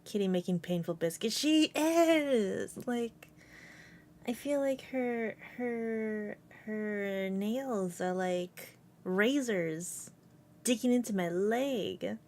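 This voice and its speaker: A woman's voice: mid-range voice, slow, darkish tone. A young adult woman streaming from home, chatting casually and giggling often between rambling thoughts. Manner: rambling and giggly, with many fillers. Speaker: VTuber streamer. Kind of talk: casual live-stream chat. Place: at home with a cat on her lap.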